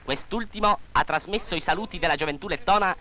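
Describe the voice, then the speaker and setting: A man's voice: sits high, quick pace, noisy background. A man reads scripted 1940s wartime newsreel narration in a formal, declamatory manner, on an old film soundtrack.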